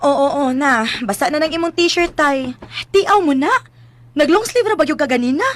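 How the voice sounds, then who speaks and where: A woman's voice: high voice, lively intonation, moderate pace. A woman with a Cebuano Filipino accent playing a feisty, protective young farmer's daughter in a radio drama, whiny and emphatic. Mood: chiding. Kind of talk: Philippine radio drama acting.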